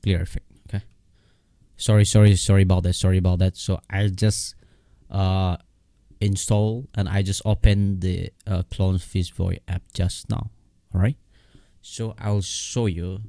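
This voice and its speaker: A man who is not a native English speaker gives a casual step-by-step software tutorial in a halting, repetitive way. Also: low-pitched, flat delivery, vocal fry, no room sound, clean studio sound. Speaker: tutorial host.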